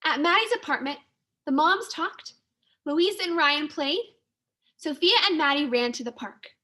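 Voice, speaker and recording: High, bright-voiced woman teacher reading aloud. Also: lively intonation, a little slow. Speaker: second-grade teacher. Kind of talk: children's storybook read-aloud.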